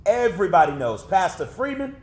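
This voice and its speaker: Guy acting teasing outspoken dude, high bouncy voice, laughs a lot, faint hiss. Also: big pitch swings, a little fast, a little mellow. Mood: arguing. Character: outspoken young man, teasing and skeptical. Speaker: older cousin figure. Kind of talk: stage play acting.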